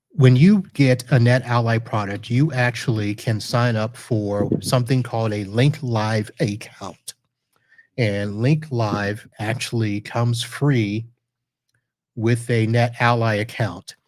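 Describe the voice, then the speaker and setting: A man hosting a podcast, casually walking through a software demo live while sharing his screen, chatty and correcting himself as he clicks around. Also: mid-range voice, no room sound. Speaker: podcast host reviewing network gear.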